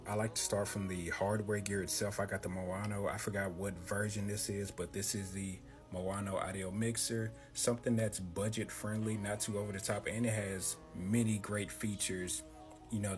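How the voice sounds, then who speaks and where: A man in his thirties with a deep, boxy voice, talking close to a handheld mic as he casually walks through his audio setup in a small home room. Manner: laid-back, slangy, talks close to the mic. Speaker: content creator explaining his audio setup. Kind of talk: casual tutorial. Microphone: handheld close-up microphone.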